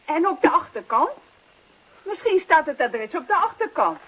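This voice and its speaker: A woman's voice: high-pitched, very animated, normal speed, fairly bright tone, dull audio. An actress playing a stubborn, exasperated wife in a radio play, reproachful and insistent with her husband.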